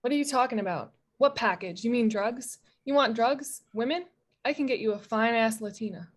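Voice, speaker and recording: A woman's voice: a little high, moderate pace, lightly acted. A woman playing an agitated male street hustler held captive in an audio drama, slangy, defiant and panicky. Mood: frantic bargaining.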